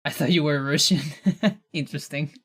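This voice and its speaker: A man's voice: fairly high pitch, normal speed, slightly rough. A man from Chile, a native Spanish speaker with a mixed American-sounding accent, chatting casually and chuckling while he streams a speedrun. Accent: mixed American with Chilean undertone. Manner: relaxed, chuckling, trails off into murmurs. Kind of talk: casual live-stream chat while playing.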